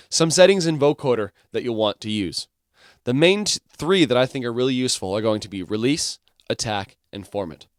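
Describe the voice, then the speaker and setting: A man teaching music production software, explaining each step in an informal way. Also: medium pitch, dry recording, studio quality.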